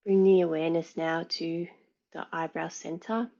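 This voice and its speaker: A woman yoga teacher leading a guided meditation in a measured, soothing way, pausing between phrases. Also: sits low, steady tone, mellow, dull audio.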